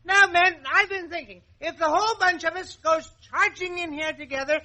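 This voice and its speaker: An actor playing a cocky, slangy wooden toy marine captain in a 1940s children's radio drama. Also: male voice, extremely high pitch, fairly even intonation, moderate pace, sharp tone, exaggerated voice. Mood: brisk, commanding. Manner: slangy, blustering, commanding.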